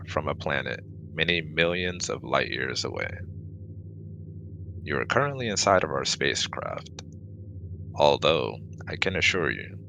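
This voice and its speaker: Man playing a very deep-voiced, officious alien scientist. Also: gravelly, background noise, heavily acted. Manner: stiff, officious, precise wording. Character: formal, easily exasperated male alien exobiologist. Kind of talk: scripted ASMR audio roleplay.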